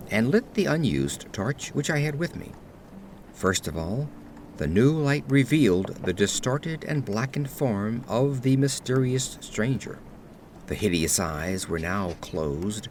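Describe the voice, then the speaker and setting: A man narrating gothic horror fiction in a measured, suspenseful, dramatic storytelling style, reading from a script. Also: mid-range voice, a little hiss or hum. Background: ambient sound effects.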